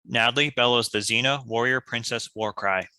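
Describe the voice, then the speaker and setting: A man's voice: medium pitch, steady tone, normal speed, pushed and bright, clean tone, no echo. A man reading stage directions as scripted narration.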